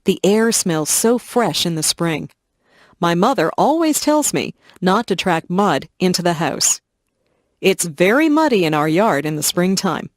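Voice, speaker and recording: A woman slowly and clearly reading simple first-person stories for English learners. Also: on the low side, sing-song, no room sound, studio quality. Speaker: reader of English listening stories. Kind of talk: slow scripted reading for learners.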